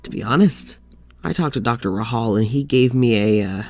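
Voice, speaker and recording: An actor playing a lonely, weary doctor in an audio drama, confiding in a halting, sighing way. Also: male voice, mid-range voice, darkish tone, faint music behind, close to an everyday voice. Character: lonely, weary young doctor venting to his demon dog.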